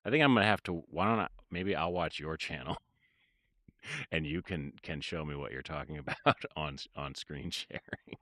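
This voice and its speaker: A man in his thirties with a very low voice chatting casually on a livestream from his home studio, rambling and hesitant, with many fillers and trailing-off sentences. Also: moderate pace, pushed and bright, no echo. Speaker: livestreaming music producer. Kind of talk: casual livestream chat while working.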